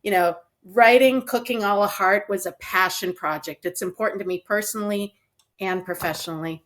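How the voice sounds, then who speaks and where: A middle-aged woman from North Dakota answers interview questions. She is a dietitian and author, and she tells her family story in a composed, steady manner. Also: mid-range voice, moderate pace. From North Dakota, USA.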